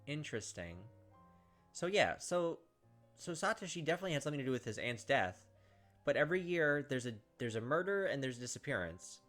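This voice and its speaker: A man's voice: on the high side, big pitch swings, fairly quick, a bit bright. A man hosting a gameplay video, reading visual novel narration aloud and commenting casually. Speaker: gameplay host. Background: game music.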